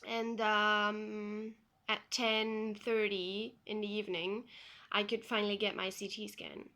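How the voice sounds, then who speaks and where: A young Belgian woman speaks English as a second language, telling her own story slowly and without hurry. Her voice is bright and clear, and she fills the gaps with soft ums as she goes. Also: mid-range voice, little pitch movement. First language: not English. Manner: unhurried, plain retelling with frequent ums. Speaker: vlogger. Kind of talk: personal story vlog.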